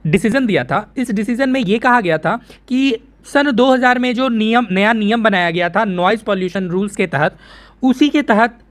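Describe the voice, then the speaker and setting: A man's voice: high voice, normal speed. An Indian man presenting an informal news explainer, conversational, repeating phrases for emphasis and answering his own questions.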